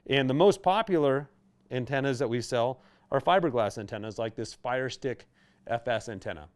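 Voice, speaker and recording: A man with a medium-pitched voice. He speaks as a tutorial host, explaining equipment in a plain, conversational style. His delivery is patient and step by step, with casual asides. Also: expressive, normal speed. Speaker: host of product tutorial videos for a radio retailer.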